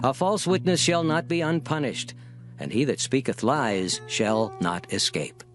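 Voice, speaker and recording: A man's voice: medium pitch, measured pace. A man giving a solemn, declamatory dramatized reading of the Bible. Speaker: scripture reader. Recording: faint music behind voice. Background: soft instrumental music.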